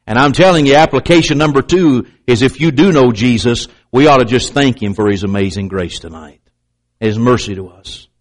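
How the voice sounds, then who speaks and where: A man's voice: medium pitch, normal speed, rough recording. Fiery preacher man, slight stutter. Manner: emphatic, repetitive pulpit delivery with pointed asides; slight stutter, clamps up on words. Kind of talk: sermon.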